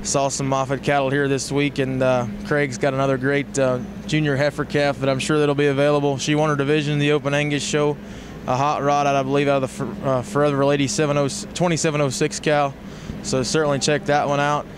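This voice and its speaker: An American man hosting live livestock show commentary in a measured, matter-of-fact way. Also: mid-range voice, little pitch movement. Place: large indoor show arena. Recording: noisy livestock arena ambience.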